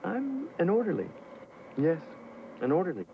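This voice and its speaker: Teasing, charming romantic lead; lively intonation, dull TV-movie audio with noise behind. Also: male voice, high-pitched, normal speed, vocal fry, exaggerated voice. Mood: playful. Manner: playful, teasing, flirtatious. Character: charming man passenger posing as an orderly. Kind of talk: 1980s TV movie romantic comedy. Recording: muffled TV audio with cabin noise.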